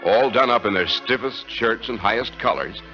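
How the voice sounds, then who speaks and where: A man's voice: lowish voice, moderate pace, pushed and bright, soft background music. A man with an American accent announcing ads and narration in 1940s radio style. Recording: muffled 1940s radio recording.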